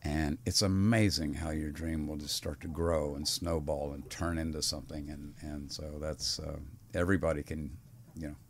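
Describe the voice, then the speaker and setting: A man's voice: extremely low pitch, steady tone, moderate pace, slight background noise. An older man talking as a podcast guest in a rambling, earnest way, often restarting his sentences. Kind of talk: casual conversation.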